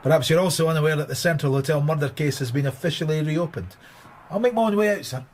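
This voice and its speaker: An actor playing a dogged, sardonic police inspector in a radio drama, with a brusque, probing way of talking. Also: male voice, on the high side, fast, a little hiss or hum, natural voice. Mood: needling.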